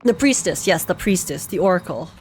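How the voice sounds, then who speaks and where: A young woman giving casual, excitable game reaction commentary, giggly and thinking aloud as she goes. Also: fairly low pitch, brisk, a little hiss or hum. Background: faint game music.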